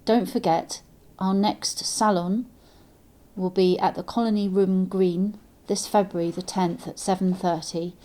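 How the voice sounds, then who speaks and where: A woman's voice: medium pitch, steady tone, measured pace, dark tone. A woman hosting an interview podcast, speaking conversationally to guests and listeners.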